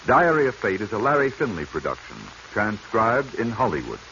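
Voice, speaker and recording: A man's voice: lowish voice, big pitch swings, moderate pace, bright, forceful tone. A man giving a scripted announcement in the formal style of a 1940s radio announcer. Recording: muffled old radio recording, faint noise.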